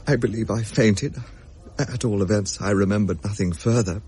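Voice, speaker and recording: An actor playing a shaken young gentleman recounting a ghostly encounter in a grave, halting, old-fashioned way. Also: on the low side, a little hiss or hum, lightly acted. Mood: shaken, doomed. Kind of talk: dramatic period radio drama narration.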